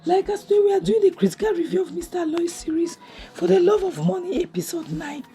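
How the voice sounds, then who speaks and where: A woman, hoarse from a cough, chatting through a casual review of a drama episode at home, opinionated and full of restarts. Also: very high voice, expressive, fast, mellow, soft background music. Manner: chatty and opinionated, many restarts and "you know". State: hoarse from a cough, losing her voice. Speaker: series review vlogger. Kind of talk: casual spoken review recap. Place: home, near a kitchen appliance.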